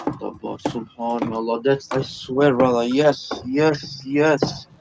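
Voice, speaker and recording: A man's voice: mid-range voice, steady tone, takes it slowly, gravelly, highs cut off, noisy background. A man taking an online Quran class, eagerly praising his teacher. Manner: eager, full of praise phrases. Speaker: student.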